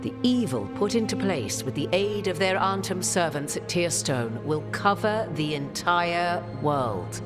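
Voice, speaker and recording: Grave, formal sorceress, music behind. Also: female voice, on the low side, animated. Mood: solemn. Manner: formal, archaic, measured phrasing. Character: grave, cryptic sorceress ally with ancient memories. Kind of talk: video game cutscene acting.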